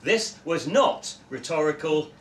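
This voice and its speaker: Casting: young male story reader, live, lively intonation, distant echoey pickup with faint noise. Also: on the high side, a little slow. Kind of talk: expressive live short-story reading. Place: small indoor festival room with audience. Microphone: camera a few metres away.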